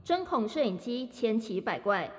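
An actress playing an informative presenter in a radio drama, explaining in a scripted, presentational way. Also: female voice, fairly high pitch, big pitch swings, normal speed, slightly rough. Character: informative female presenter of hidden gadgets. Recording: long hall-like reverb.

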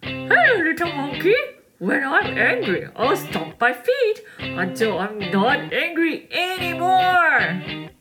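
A woman's voice: high voice, very animated, slow, over loud music, pitched above the performer's own voice, heavily acted, rough recording. A woman voicing a friendly young male elephant, like a big brother, in a children's story. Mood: eager, encouraging.

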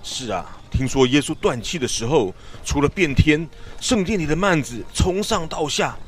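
An actor playing a talkative, weary village traveler in a radio drama, speaking in an emphatic, sighing, storytelling way. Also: male voice, on the high side, animated, moderate pace, slightly rough. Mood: awed, uneasy.

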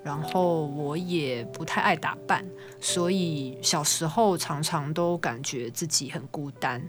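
A woman with a Taiwanese Mandarin accent answers as an interview guest. She speaks reflectively and conversationally, with music clearly playing behind her. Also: low voice, unhurried.

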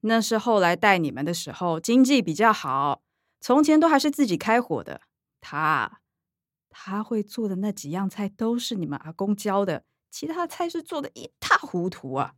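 A woman's voice: medium pitch, very animated, moderate pace, no echo, clean studio sound, natural voice. An actress with a Taiwanese Mandarin accent voicing a blunt, wry middle-aged mother in a radio drama.

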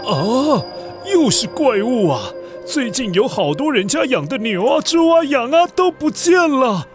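A man's voice: very high-pitched, very animated, measured pace, music in the background, voice pushed up, full character voice. A man voicing a fretful village man in a children's audio drama, anxious and wailing. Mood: alarmed. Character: panicky, fretful male villager in old Taiwan.

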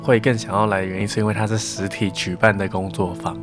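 Young student guy, kinda low voice, casually explaining stuff in interview, bit of music behind. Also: flat delivery, fast. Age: young adult.

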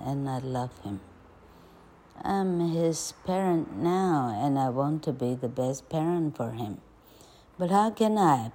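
A teacher voicing a loving but exasperated old aunt from a storybook read aloud, raising the voice to scold. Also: medium pitch. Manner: raises voice to shout and scold.

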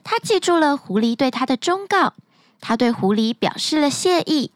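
Woman storyteller, high voice, very lively melody, bedtime tale, dry close recording. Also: moderate pace, no echo. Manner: expressive, sing-song storytelling.

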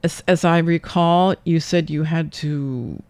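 A mature woman hosting a casual interview, thinking aloud in halting questions that often trail off. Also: sits low, a little mellow, no echo. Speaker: radio interview host.

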